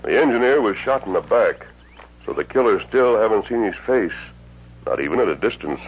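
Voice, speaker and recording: An actor playing a resolute masked lawman in a radio western, with husky, measured, commanding speech and formal diction. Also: on the low side, expressive. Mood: calm, resolute. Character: resolute masked lawman hero of the Old West. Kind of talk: 1950s radio western acting. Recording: dull old radio recording.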